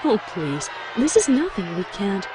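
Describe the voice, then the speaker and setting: A woman's voice: medium pitch, sing-song, a little slow. A woman voicing a regal, self-assured pony princess in a cartoon audio drama, airy and condescending in an indulgent way. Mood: self-assured, then flustered. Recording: noisy track with busy crowd ambience.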